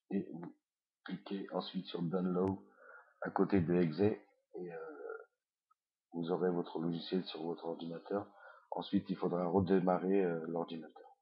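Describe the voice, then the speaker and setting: A deep, monotone man with a head cold walks through a screencast lesson, hesitating often with fillers. His voice is husky and comes through a dull, lo-fi computer mic. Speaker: online course instructor. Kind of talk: screencast tutorial.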